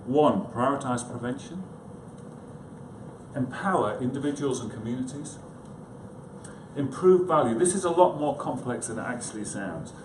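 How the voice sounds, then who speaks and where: A man's voice: medium pitch, animated, normal speed. A man giving an informal conference talk, hesitant and self-deprecating, with chatty asides to his listeners. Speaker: conference speaker, psychiatrist. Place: conference room, indoors. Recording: echoey room, clearly noisy background.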